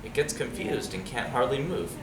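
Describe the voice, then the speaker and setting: A man reading a storybook aloud to young listeners in an expressive, dramatic way. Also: medium pitch, moderate pace. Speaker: storybook reader. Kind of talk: animated read-aloud story with asides to children. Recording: roomy, distant mic, noisy background.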